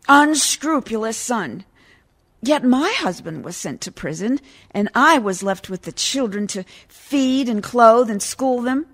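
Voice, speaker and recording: A woman acting a steadfast, wronged wife and mother in a dramatic verse monologue for a radio play. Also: mid-range voice. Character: wronged banker's wife, steadfast mother who endured hardship. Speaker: actor in a community theatre radio play.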